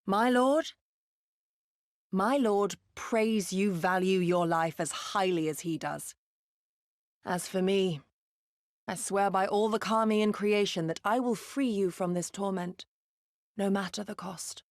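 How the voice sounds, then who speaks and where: An actress playing a proud, resolute retainer of a lord, speaking formally and earnestly in a video game cutscene. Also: female voice, medium pitch, slow, pauses often, no echo, studio quality. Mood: solemn, resolute. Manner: formal, archaic, earnest diction.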